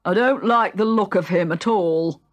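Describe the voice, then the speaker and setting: A woman's voice: mid-range voice, moderate pace, voice pushed up, lightly acted. A woman voicing a blustering, pompous male king with emphatic delivery in a children's storybook reading. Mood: disapproving.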